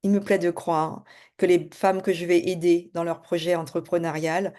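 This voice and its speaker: Middle-aged woman coach, lowish warm voice, quick casual delivery full of fillers. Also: flat delivery. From French Guiana. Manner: fast-talking, hesitant with many fillers. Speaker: spiritual and business coach. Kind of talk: casual self-introduction to camera.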